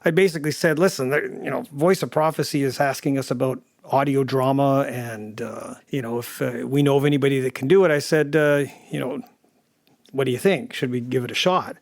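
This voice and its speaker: A man casually recalling past conversations in an interview, in a halting, conversational way. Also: medium pitch, creaky, dry recording, studio quality. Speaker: audio drama director and producer.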